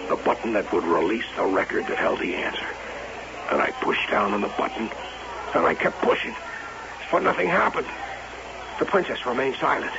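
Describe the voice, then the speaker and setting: A man's voice: fairly low pitch, sing-song, normal speed, gravelly, long pauses, noisy background. A man acting a harried, irritable showman husband in an old-time radio drama, curt, intense and theatrical as he talks and narrates. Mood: tense narration. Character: harried, irritable showman husband, telling his own downfall. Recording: muffled old radio broadcast.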